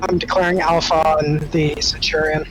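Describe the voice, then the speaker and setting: A man's voice: on the high side, flat delivery, moderate pace, over music, poor audio. A man talking casually as a player during a tabletop wargame.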